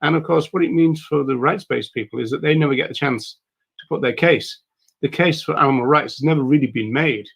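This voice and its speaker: Croaky guy hosting a stream, bit high voice, rambly, lots of uh and um. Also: a little fast. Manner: rambling, with many fillers and restarts. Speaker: livestream host. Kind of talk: casual livestream talk.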